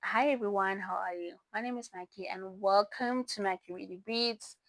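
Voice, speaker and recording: A woman's voice: medium pitch, normal speed, a bit bright, dry recording. A young woman, not a native English speaker, hosting a casual book review vlog and rambling through her picks with self-corrections and laughs. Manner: chatty, rambling, self-correcting, giggly asides. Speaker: book reviewer, channel host.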